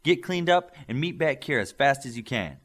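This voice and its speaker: A man's voice: medium pitch, expressive, normal speed, gravelly, a bit bright, pitched above the performer's own voice. A man doing a spirited teenage girl. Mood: playful.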